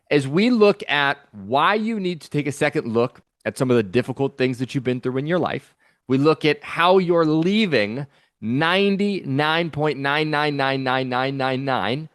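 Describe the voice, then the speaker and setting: A man hosting a podcast, talking in an upbeat, energetic and encouraging way. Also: on the high side, no room sound, studio quality. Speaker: podcast host. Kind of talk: podcast intro and interview.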